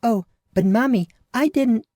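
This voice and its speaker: A man's voice: very high voice, a little slow, warm tone, no echo, clean studio sound, pitched above the performer's own voice. A man voicing an innocent, confused little girl in an audiobook, with an unsure, questioning manner. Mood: unsure, protesting. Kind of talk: audiobook character acting.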